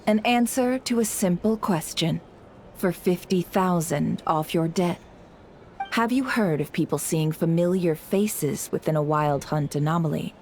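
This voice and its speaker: A woman playing a shrewd, teasing creditor and intel dealer in a video game, sly and coolly unhurried. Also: on the low side, darkish tone, a little hiss or hum.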